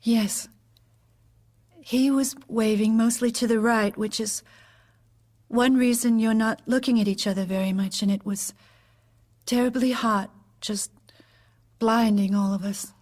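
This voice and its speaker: Widowed first lady, high-pitched, hesitant, composed, measured recollection, audio drama testimony. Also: steady tone, darkish tone. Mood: subdued, hesitant.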